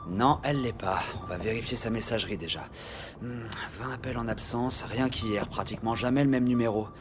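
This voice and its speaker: An actor playing a diligent, wry junior detective in a French audio drama, with a casual way of talking. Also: male voice, mid-range voice, a little fast, noisy background, natural voice. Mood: businesslike, eager.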